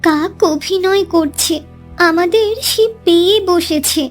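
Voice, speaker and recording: The kindly little hen bird in a children's cartoon, piping very high and earnest from her nest over soft music, acted by a woman. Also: slow. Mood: fed up. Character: small kind, caring female bird, dutiful wife. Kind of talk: scripted cartoon dialogue.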